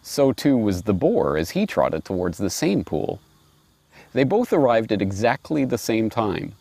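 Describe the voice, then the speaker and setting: A middle-aged man presenting and reading aloud in a musing, wry, expressive way, recorded outdoors on a lapel mic. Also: lowish voice, vocal fry, dry recording. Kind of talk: reflective commentary and storybook reading. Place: outdoors in a grassy park.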